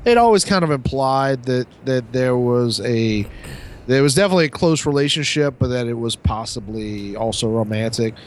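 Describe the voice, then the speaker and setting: Male podcast co-host, mid-pitched, blunt and opinionated, swears freely, casual banter, faint noise behind. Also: normal speed.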